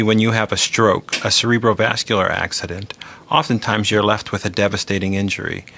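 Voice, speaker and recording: A man speaking as a neurosurgeon, giving formal, measured explanations in interview remarks for a newsreel. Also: fairly low pitch, vocal fry, sharp tone, slight background noise.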